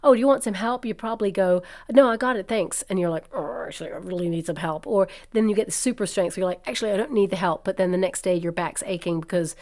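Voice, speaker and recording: Chatty woman with a slightly croaky voice. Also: medium pitch, fast talker, few pauses, darkish tone. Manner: chatty, reassuring, many fillers and asides. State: slightly croaky from a coming cold. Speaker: tarot reader. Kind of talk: casual tarot reading to camera. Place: indoors at a table covered with cards and crystals.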